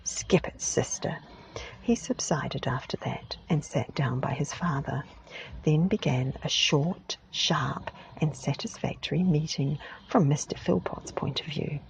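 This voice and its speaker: Low soft-spoken woman whispering a children's story, gravelly fry, close mic. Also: measured pace, poor audio. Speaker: ASMR reader. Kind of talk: whispered ASMR storybook reading.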